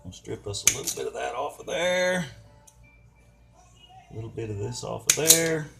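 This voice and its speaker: An older man narrates DIY repair work. He talks in a folksy, colloquial way, often muttering to himself as he works. His pitch is average for a man, and music plays clearly behind his voice. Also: expressive, normal speed. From United States. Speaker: DIY mechanic, video host. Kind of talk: casual hands-on repair narration.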